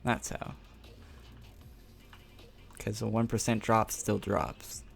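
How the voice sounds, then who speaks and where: A man's voice: lowish voice, level tone, takes it slowly, gravelly, soft background music. A young man casually chatting on a game stream, answering viewer questions in a laid-back, rambling way and laughing often.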